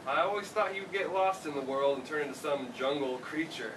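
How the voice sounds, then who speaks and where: A man's voice: fairly high pitch, steady tone, a little fast, lightly acted. A man playing a playful, sentimental old father with a teasing manner in a staged play reading. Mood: fond. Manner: teasing, chuckling. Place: theater stage, recorded from the audience. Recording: distant, echoey, noisy live theater recording.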